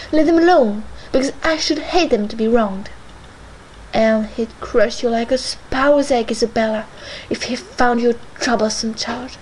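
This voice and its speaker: Brief: impatient, scolding mistress, voiced by a woman reader, high and forceful. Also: a little hiss or hum, low-quality recording. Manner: emphatic, scolding. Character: proud young mistress, sharp-tongued, impatient.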